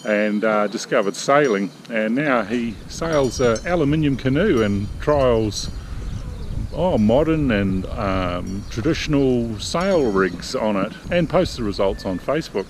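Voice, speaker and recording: A middle-aged man hosting a sailing vlog, talking casually to a hand-held camera outdoors in a city square, relaxed and chatty with fillers. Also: mid-range voice, a little slow, a little hiss or hum. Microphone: camera held at arm's length.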